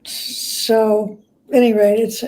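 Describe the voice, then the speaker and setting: A woman's voice: mid-range voice, level tone, very slow, dark tone, rough recording. A woman speaking as an interview guest, telling anecdotes conversationally and acting out bits of dialogue. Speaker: guest biographer.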